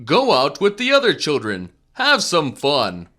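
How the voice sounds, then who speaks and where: A man's voice: fairly high pitch, wildly swooping pitch, measured pace, bright, forceful tone, exaggerated voice. A male narrator voicing a kindly schoolteacher who speaks in a friendly, encouraging way.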